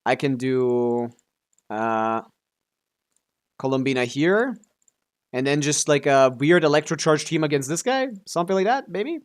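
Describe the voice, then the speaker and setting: A man giving casual live game stream commentary, thinking aloud as he talks through his options. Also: on the high side.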